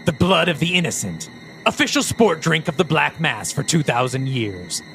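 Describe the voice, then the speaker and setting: A sneering demon lurks in a priest's body, preaching with taunting, theatrical relish, as a man puts him on. His pitch swoops from low menace to sudden highs. A faint musical bed plays behind the storybook performance. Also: very animated, moderate pace, creaky, a bit bright, faint music behind, full character voice, low-quality recording. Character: mocking male demon possessing a priest.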